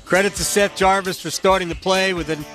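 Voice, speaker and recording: A man hosting a hockey radio intermission, talking through highlights in an unscripted, halting way and often correcting himself. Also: fairly even intonation, over music. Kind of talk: unscripted sports highlight commentary.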